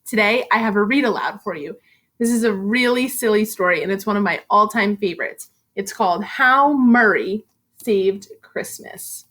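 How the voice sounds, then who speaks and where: A woman reading a children's story aloud as a school reading specialist, with animated, theatrical emphasis on the rhymes. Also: mid-range voice, moderate pace.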